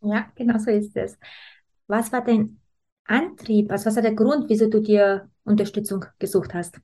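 A woman's voice: mid-range voice, fairly even intonation, moderate pace, dark tone. A woman in her thirties speaking as a podcast host, introducing and interviewing. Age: 30s.

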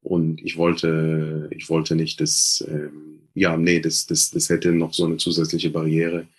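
A man's voice: a bass voice, completely flat delivery, slow, husky. A man from Israel, a Hebrew speaker, talking hesitantly as an interview guest with many filler sounds and restarts. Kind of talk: casual interview talk.

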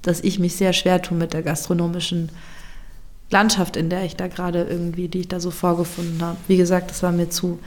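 A woman chef in a casual interview, speaking reflectively and often pausing to search for words. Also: on the low side, level tone. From Germany.

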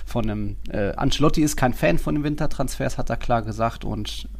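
A man with a gravelly, creaky voice at a middle pitch co-hosts a football podcast. He talks casually, in a laid-back and colloquial manner. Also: brisk. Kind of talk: casual chat.

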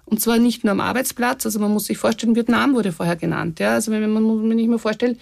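Austrian woman, care provider on a panel; gravelly, dry close mic, measured and reflective. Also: fairly high pitch, fairly even intonation, darkish tone, dry recording. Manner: thoughtful, many fillers and restarts. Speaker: care organization representative. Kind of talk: podcast discussion.

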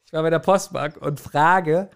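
A man's voice: on the high side, fairly even intonation, normal speed, vocal fry. A middle-aged man, co-hosting a podcast and casually telling stories in a rambling, acted-out way. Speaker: podcast co-host. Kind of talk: casual personal storytelling.

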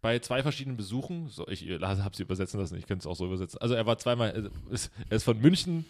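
A man's voice: fairly low pitch, expressive, racing. Podcast co-host, young man, loose and teasing, plenty of ums. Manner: rambling, joking, many fillers. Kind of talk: casual chat.

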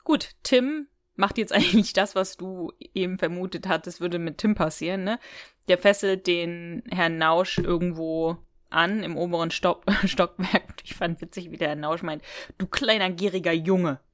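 A woman with a slightly low voice talks casually as a podcast co-host, retelling a story. She is chatty and giggly and slips into quoted lines as she goes. The recording is close and dry. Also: moderate pace, no room sound. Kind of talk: casual chat retelling and reviewing an audio drama episode.

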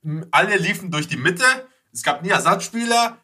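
A man talks in a casual group podcast, emphatic and slangy. His voice is slightly high and extremely bright, with a husky rasp to it. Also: expressive, normal speed. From Bavaria, Germany. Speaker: podcast speaker.

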